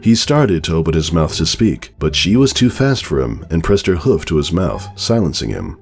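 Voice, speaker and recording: A man's voice: low voice, sing-song, normal speed. A man narrating a story as a dramatic, scripted reading. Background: background music.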